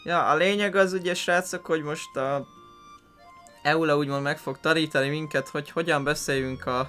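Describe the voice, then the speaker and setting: Bright-voiced Hungarian man gaming. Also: on the high side, fairly even intonation. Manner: informal, halting, searching for words. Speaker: gaming video host. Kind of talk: casual let's play commentary. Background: game music.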